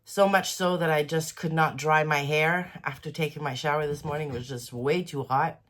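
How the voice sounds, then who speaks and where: A low-pitched woman talking through a head cold, her voice stuffy and congested. She chats casually and softly in a rambling, everyday vlog manner. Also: fairly even intonation, normal speed. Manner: chatty, rambling, soft-spoken. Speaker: quilting vlogger. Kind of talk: casual daily vlog chat.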